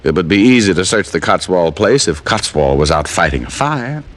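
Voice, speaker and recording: A man's voice: sits low, lively intonation, moderate pace, a bit bright. An actor playing a dry, wisecracking male private detective who narrates a 1950s radio drama. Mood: wry. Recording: old radio broadcast with faint music.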